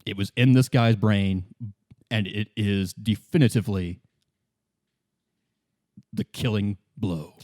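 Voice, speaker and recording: A man running a tabletop roleplay as game master, improvising the narration and haltingly thinking aloud. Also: lowish voice, warm tone, no echo, studio quality.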